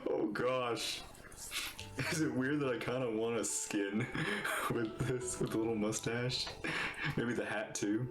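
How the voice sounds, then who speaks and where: A man's voice: mid-range voice, fairly even intonation, unhurried, over music. A man giving casual, off-the-cuff reaction commentary as he streams a story-driven game.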